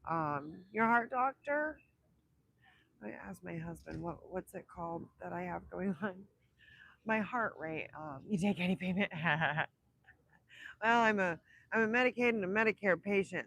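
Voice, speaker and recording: A young woman with a raspy voice from illness, chatting casually on a livestream, rambling and laughing between thoughts. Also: fairly low pitch, moderate pace, a bit bright. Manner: rambling, self-interrupting, laughs often. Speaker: livestream host. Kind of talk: casual live chat with viewers.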